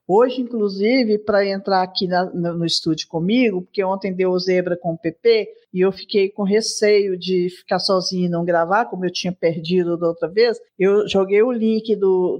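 A woman's voice: medium pitch, darkish tone, muffled recording. A woman in her sixties hosting her own podcast alone, talking in a chatty, affectionate way that wanders into asides. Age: 60s. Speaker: podcast host. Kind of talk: casual solo podcast chat.

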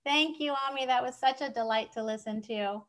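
A woman's voice: fairly high pitch, brisk, a bit bright. A woman from northwest Spain hosting an online open mic in casual, gushing, exclamatory chat.

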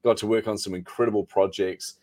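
New Zealand man, low voice. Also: steady tone, a little fast, darkish tone. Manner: chatty and self-deprecating, laughs often. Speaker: podcast guest, marketing coach. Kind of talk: relaxed interview storytelling.

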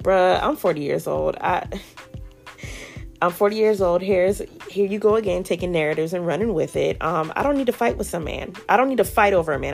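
Gravelly-voiced woman recapping with sass. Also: fairly low pitch. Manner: sassy, opinionated, slangy, quotes people with quick asides. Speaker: podcast host recapping a reality show. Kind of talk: casual recap commentary.

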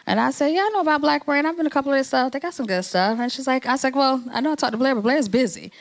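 A woman's voice: high voice, expressive, rapid-fire. Woman, organization president, networking speech, conversational, encouraging, from New Jersey. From New Jersey, USA.

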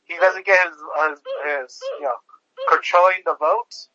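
Man, high-pitched co-host, halting delivery with stacked fillers, dry lo-fi sound. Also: fairly even intonation, pushed and bright, no echo. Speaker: podcast co-host. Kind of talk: casual political chat.

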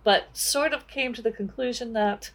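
A woman's voice: on the high side, moderate pace, a bit bright. A woman co-hosting a conversational book discussion, thinking aloud with hesitant, thoughtful phrasing. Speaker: podcast co-host.

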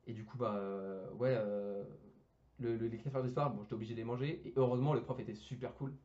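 A young man talking quickly in loose, casual speech, tripping over fillers and restarting his sentences. His slangy chat comes through on a dull, lo-fi recording. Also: mid-range voice, steady tone, dark tone. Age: young adult. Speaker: engineering student guest.

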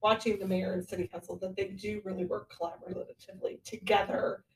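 Creaky-voiced woman podcast host, distant and echoey. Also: mid-range voice, flat delivery, fairly quick. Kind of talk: conversational interview hosting. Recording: roomy echo, distant from mic.

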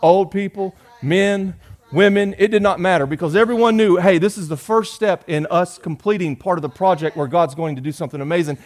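A man, preaching a sermon in a folksy, joking way, rising loud and dropping soft. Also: high voice, fairly quick. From Georgia. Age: 40s. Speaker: pastor.

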